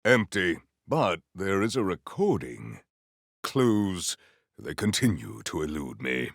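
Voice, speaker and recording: Noble video game warrior man, slow stately formal talk, big swoopy delivery. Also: deep voice, big pitch swings, vocal fry, no room sound, clean studio sound, full character voice. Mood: stoic, formal. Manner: stately, theatrical, archaic formal phrasing. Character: proud, honorable, formal warrior, adult man, earnest and grandiose. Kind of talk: video game character voice lines.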